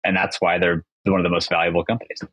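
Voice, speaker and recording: A podcast guest with a low, husky voice. He talks with upbeat enthusiasm in long, flowing sentences. The sound is dry and close-miked. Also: little pitch movement, quick pace, fairly bright tone, no echo, muffled recording. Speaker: agency founder, podcast guest. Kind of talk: casual interview chat.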